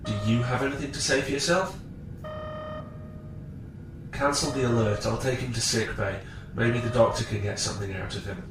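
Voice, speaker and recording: A man's voice: medium pitch, moderate pace, long pauses, very noisy, close to an everyday voice. A man playing a dutiful, skeptical starship officer in a science fiction audio drama, with a clipped, questioning military manner. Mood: businesslike.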